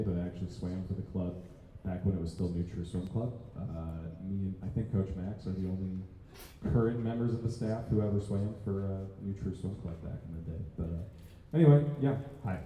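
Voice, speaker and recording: A man's voice: sits low, moderate pace, dark tone. A man, a swim coach, introducing himself at a team meeting in a chatty, friendly way. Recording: dull echoey sound with faint noise.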